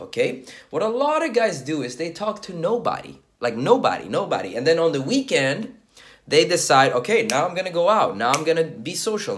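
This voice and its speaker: Man, early 30s, dating coach speaking to camera; casual, direct, animated pitch, keeps checking in with the viewer. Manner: repeats "okay?" as a check-in, conversational and direct.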